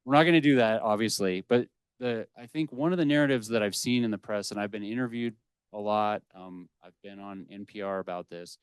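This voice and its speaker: Smooth, low-voiced man, assertive panel speaker. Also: brisk, no echo. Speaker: wildlife management official on a panel. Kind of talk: unscripted panel remarks, explanatory. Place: indoor public panel discussion at a library.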